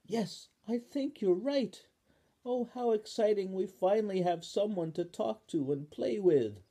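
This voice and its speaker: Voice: mid-range voice, very animated, slow pace, mellow, breathy edge, voice pushed up, heavily acted. A storyteller voicing Spoon, a lonely young female talking spoon who is delighted to find a friend, in a children's story.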